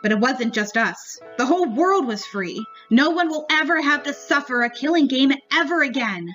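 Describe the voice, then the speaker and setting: A woman's voice: high voice, lively intonation, moderate pace, a bit bright, highs cut off. A woman reading her own story aloud as an amateur narrator. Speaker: story writer and reader. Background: music.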